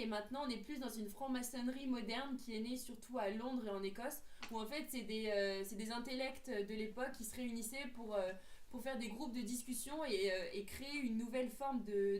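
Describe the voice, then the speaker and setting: A woman's voice: on the high side, a little fast. A young woman in her late teens, explaining things earnestly in a casual group discussion and hesitating often. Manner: earnest, hesitant with many fillers. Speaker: co-host presenting theories. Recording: echoey room.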